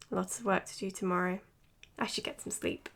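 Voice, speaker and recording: A woman's voice: on the low side, gravelly, heavy background noise. A woman acting in an audio drama as an earnest, matter-of-fact psychologist giving her case notes. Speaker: doctor. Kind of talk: audio drama, recorded clinical log.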